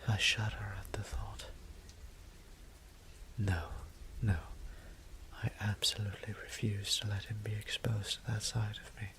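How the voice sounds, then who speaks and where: A man playing a weary, courteous immortal vampire priest in an audio drama, speaking formal, old-fashioned English in a breathy, gravelly near-whisper. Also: fairly low pitch, monotone, a little slow, sharp tone, slight background noise, exaggerated voice, poor audio. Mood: troubled, resolute. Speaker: voice actor. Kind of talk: scripted audio drama monologue.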